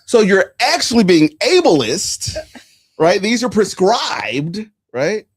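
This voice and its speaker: Man, 40, podcast host, casual talk, lively swinging pitch, storytelling delivery. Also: slow, breathy edge. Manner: animated, storytelling, swings between loud and hushed. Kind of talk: casual political chat.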